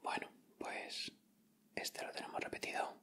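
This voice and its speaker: A man with a Castilian Spanish accent doing gentle, informal ASMR commentary as he hosts an unboxing. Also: measured pace. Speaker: ASMR video host.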